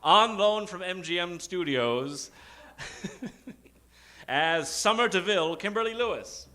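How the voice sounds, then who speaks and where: Bright-voiced man, theatrical old-time radio announcer. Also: high-pitched, expressive. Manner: dramatic, with drawn-out suspenseful pauses and sudden emphasis. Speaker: announcer and host of an improvised radio show. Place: theater stage. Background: live keyboard music.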